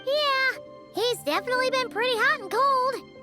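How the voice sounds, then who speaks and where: A woman voicing a tiny, excitable and blunt childlike fairy companion in an anime game, chirpy and exclamatory. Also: extremely high pitch, normal speed, over loud music. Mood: worried, urgent. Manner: chirpy, exclamatory, talks in third person. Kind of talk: anime game dialogue acting.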